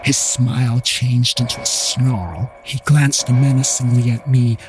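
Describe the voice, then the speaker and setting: A man's voice: medium pitch, fairly even intonation, a little slow. A man telling a scripted first-person story as the narrator of an audio drama. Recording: music bed behind voice.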